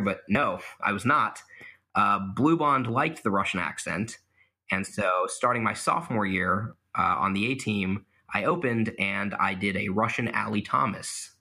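Young male guest, low-ish bright tone, casual and self-deprecating. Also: slightly rough. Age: young adult. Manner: self-deprecating, laughs at himself, many fillers. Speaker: guest, mock trial competitor. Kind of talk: casual interview answers.

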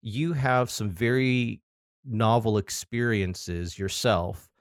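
Male interview host, low voice, dry close mic, conversational. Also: takes it slowly, no echo. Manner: conversational, reads quotes aloud. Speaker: podcast host.

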